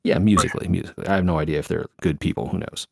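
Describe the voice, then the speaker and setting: Deep-voiced man in casual podcast chat. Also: steady tone, rapid-fire, creaky, a little mellow, slightly rough, no echo, studio quality. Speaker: podcast co-host.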